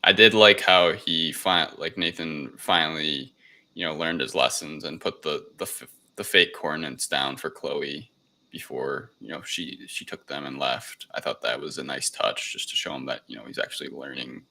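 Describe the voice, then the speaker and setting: A man's voice: a bass voice, normal speed, creaky, pushed and bright. A man chatting casually as a podcast panelist reviewing films, with a hesitant, filler-heavy way of talking. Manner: hesitant, repeating "like" and "you know".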